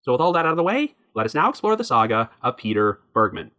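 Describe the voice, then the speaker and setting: Man, podcast host, scripted true crime narration, bright forceful tone, very swooping pitch. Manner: reads a prepared script in an even, measured way.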